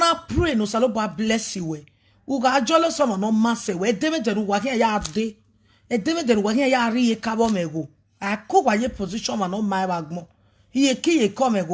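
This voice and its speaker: A woman with a Nigerian accent, talking emphatically as a prophetess on a live video, swinging between loud outbursts and a lowered voice. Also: husky. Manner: emphatic, switches between pidgin and a local language.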